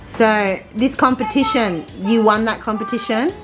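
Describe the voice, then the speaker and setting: A woman's voice: a little high, moderate pace, over music, poor audio. A woman hosting an interview, asking short, friendly questions. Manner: friendly questioning, brief prompts. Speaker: interview host. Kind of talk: casual interview.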